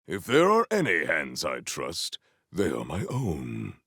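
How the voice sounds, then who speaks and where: An actor playing a proud, formal sci-fi warrior in a video game, speaking in a grandiose, deliberate and earnest way. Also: male voice, extremely low pitch, huge pitch swings, fairly bright tone, breathy edge, no echo, studio quality, full character voice. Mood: stern. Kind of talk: video game character voice lines.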